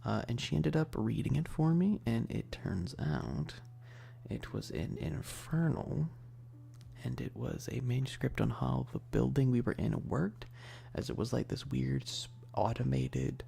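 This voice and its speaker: Male ASMR streamer, very gravelly fry, hushed rambling chat, animated intonation, slight background hum. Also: mid-range voice, measured pace. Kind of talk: casual ASMR livestream chat.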